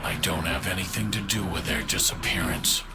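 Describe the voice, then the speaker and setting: A man's voice: sits low, steady tone, normal speed, gravelly, background noise. An actor playing the grave, vengeful ghost of a murdered scientist, telling his story solemnly in a mystery game. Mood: solemn, earnest. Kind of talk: dramatic scripted monologue in a mystery game. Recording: loud music under the voice. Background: loud music.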